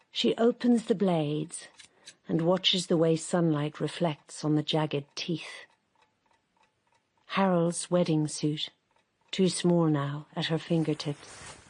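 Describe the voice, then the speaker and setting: Calm woman narrating a radio drama. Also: on the low side, no echo.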